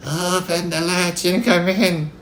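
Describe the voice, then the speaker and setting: A man voicing a sly wolf who hides his gruffness behind a quavering, falsely sweet little voice in a storybook. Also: high voice, level tone, takes it slowly, fairly bright tone, roomy sound, a little hiss or hum, voice pushed up. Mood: coaxing, sly. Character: wolf pretending to be little old grandmother.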